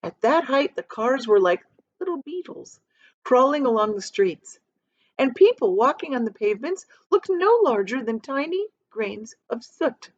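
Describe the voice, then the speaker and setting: A woman teacher reading a children's story aloud in a deliberate, dramatic manner. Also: sits high, big pitch swings, no echo, highs cut off. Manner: deliberate, dramatic, shows pictures to listeners.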